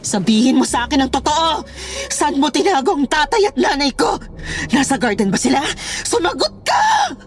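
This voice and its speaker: A woman's voice: high-pitched, very animated, moderate pace, music in the background. A woman playing an unhinged, menacing daughter in a horror audio drama, theatrically swinging between eerie sweetness and rage. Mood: furious. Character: unhinged, menacing adult daughter taunting her father.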